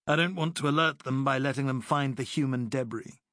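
A man voicing a resolute young British officer who gives crisp commands. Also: mid-range voice, a little fast, a bit bright, no room sound, studio quality, close to an everyday voice. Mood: grim.

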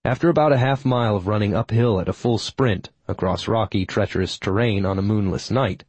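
Low, mellow male audiobook narrator. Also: fairly quick, husky, no room sound. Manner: steady, even storytelling. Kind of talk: first-person fantasy audiobook narration.

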